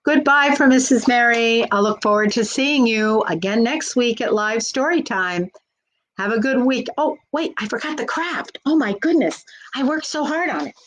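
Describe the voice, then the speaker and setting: A woman's voice: a little high, moderate pace, a bit bright, slight background noise, rough recording. A woman working as a children's librarian, hosting a live storytime in a simple, encouraging way and speaking directly to young children.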